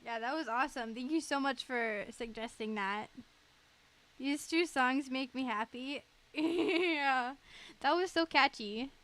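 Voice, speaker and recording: A woman's voice: high-pitched, unhurried, fairly bright tone. A woman livestreamer chatting casually in a giggly, enthusiastic way.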